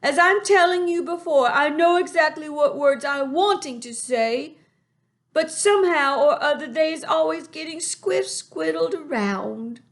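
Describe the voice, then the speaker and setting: A woman reading a storybook aloud, voicing a big, kindly male giant who gets excited and mixes up his words. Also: measured pace. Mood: eager. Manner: excited, high and loud, tumbling made-up words. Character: big gentle friendly male giant, muddled speech.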